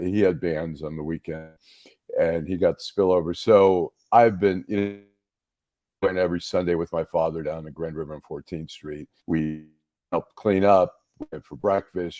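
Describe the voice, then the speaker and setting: A man's voice: low-pitched, steady tone, normal speed, warm tone, dry recording. A middle-aged man from the Detroit area, co-hosting a casual podcast and telling stories with lots of restarts. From Detroit area, Michigan. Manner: rambling, many restarts and fillers. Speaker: co-host.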